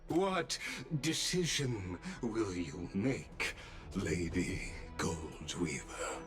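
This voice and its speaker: A man playing a grave, composed character in a game, speaking formally and deliberately. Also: sits low, animated, full character voice. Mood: grave, probing. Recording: music behind the voice.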